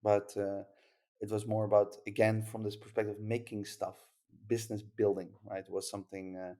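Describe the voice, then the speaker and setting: A man's voice: fairly low pitch, level tone, moderate pace, mellow. A Dutch-accented man from the Netherlands, a startup founder talking casually on a podcast, rambling with lots of fillers and restarts.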